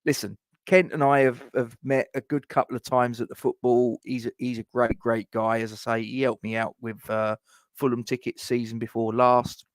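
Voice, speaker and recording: A middle-aged man chats casually on a live stream, reading out viewer comments. His mid-range voice has a gravelly, fry-laden texture. He rambles, fills pauses with "um", and restarts his sentences. The sound is dry and close, with little room echo. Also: medium pitch, flat delivery. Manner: rambling, chatty, many fillers and restarts.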